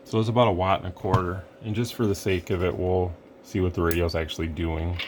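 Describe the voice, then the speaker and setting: A man's voice: sits low, flat delivery, brisk, slight background noise. A man casually talking through a hands-on radio equipment demo at his workbench, rambling and thinking aloud as he goes.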